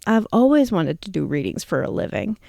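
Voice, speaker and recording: A woman's voice: mid-range voice, big pitch swings, fairly quick, vocal fry, warm tone, no room sound, clean studio sound. A woman in her fifties hosting a show, telling a personal story in a reflective, conversational way. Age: 50s. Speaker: show host.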